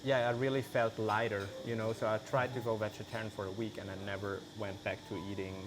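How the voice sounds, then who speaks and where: A man, an English speaker, talking casually as an interview guest, loose and relaxed, full of "like" and "you know". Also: lowish voice, fast, noisy background. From Switzerland. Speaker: guest, vegan cheese maker.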